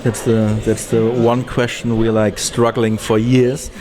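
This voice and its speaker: A man speaking casually as an interviewed film director, halting and often searching for words. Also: mid-range voice, little pitch movement, crowd noise.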